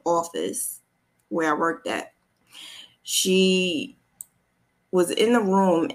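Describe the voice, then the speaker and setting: A woman vlogger telling a personal story in a casual, conversational way, often backtracking as she goes. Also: low voice. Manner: conversational, backtracking, acts out quoted dialogue.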